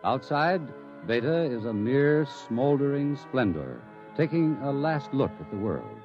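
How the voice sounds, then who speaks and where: A man giving solemn, slow scripted narration in a 1950s radio drama. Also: medium pitch, very animated. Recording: muffled old radio broadcast over music.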